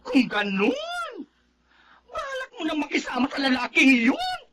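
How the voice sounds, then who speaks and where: An actress playing a caring young woman relative in a radio drama, polite and gently worried. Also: high voice, huge pitch swings, a little slow, noisy background, full character voice. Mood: concerned.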